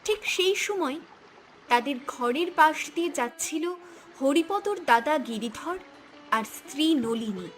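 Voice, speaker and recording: Female cartoon narrator: high voice, dramatic storytelling, light music bed. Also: lively intonation, measured pace, a bit bright.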